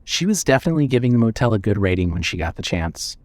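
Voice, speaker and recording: A man's voice: fairly low pitch, big pitch swings, fast talker, no echo. A man narrating a scripted story as the narrator of an audio drama.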